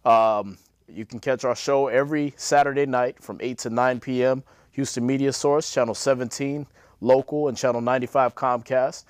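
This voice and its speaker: A man, co-hosting a religious cable show, talking haltingly with many fillers. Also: mid-range voice, fairly even intonation, no room sound, studio quality. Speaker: co-host. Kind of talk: show announcements and agreeing.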